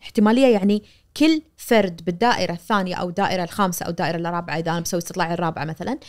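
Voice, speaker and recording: A woman in her forties with a Kuwaiti accent, a media professor explaining things animatedly as a guest on a studio podcast, recorded on a boom mic. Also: rapid-fire. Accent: Kuwaiti Arabic. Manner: animated, gesturing, acts out quoted voices. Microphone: boom-arm studio mic.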